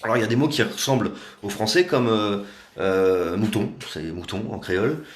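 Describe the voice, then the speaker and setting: A man with a fairly low voice rambles through a casual live video, full of hesitations and sarcastic asides. He speaks from a room at home, and the sound bounces around an echoey space. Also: moderate pace. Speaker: activist livestreamer.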